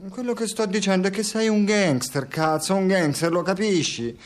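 High-pitched sarcastic, frustrated scientist played by a male actor. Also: moderate pace, lightly acted. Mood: angry, sarcastic. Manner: sarcastic, profane, ranting. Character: frustrated, foul-mouthed male scientist turned reluctant playwright. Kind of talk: Italian radio theatre acting.

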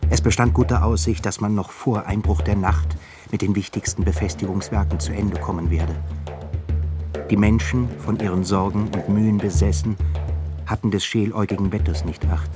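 A man narrating a radio play in a measured, formal literary reading. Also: on the low side, fairly even intonation. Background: music.

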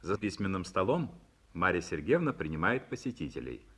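A man's voice: deep voice, sing-song, normal speed, a bit bright, slightly rough. A man reading scripted stage directions as the narrator of a 1950s Soviet radio play.

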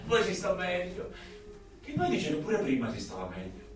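A young shopkeeper in a radio drama, breezy, familiar and easily excited. His voice is high and very animated, with speech. He rambles and jokes, heard a little off the microphone. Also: moderate pace. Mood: offhand. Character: chatty, breezy young male junk shop keeper. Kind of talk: naturalistic radio drama acting. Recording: very echoey, somewhat distant from microphone.

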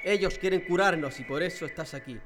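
A man's voice: high voice, fast talker, noisy background, lightly acted. A man from playing a brainwashed prisoner in a radio drama, pleading fervently for devotion to his oppressors. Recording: long hall reverb, faint music behind.

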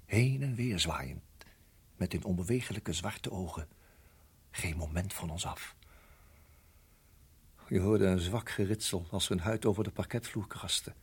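Husky, tense husband in radio drama. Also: male voice, fairly low pitch. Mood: haunted, dramatic narration. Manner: hushed, halting, urgent. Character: tense husband guiding wife through deadly snake danger. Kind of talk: radio drama acting and narration.

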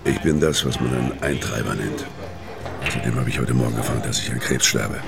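A very deep male voice carries a gruff, world-weary criminal in a noir radio play. He is middle-aged and hard-boiled, speaking tersely and guardedly both in dialogue and first-person narration. Music plays clearly behind him. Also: fairly quick. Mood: bitter, curt. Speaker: narrator and lead actor.

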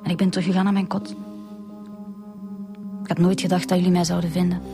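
A young woman with a Flemish accent playing an earnest student in a radio drama, speaking haltingly. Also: fairly low pitch, flat delivery, lightly acted. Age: young adult. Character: earnest young female student confessing to police. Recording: music behind the voice.

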